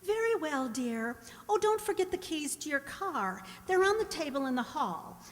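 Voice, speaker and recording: A woman's voice: sits high, very animated, normal speed. A woman playing a placid, absent-minded middle-aged housewife, breezy and distracted, in a radio comedy. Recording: echoey hall, long reverb.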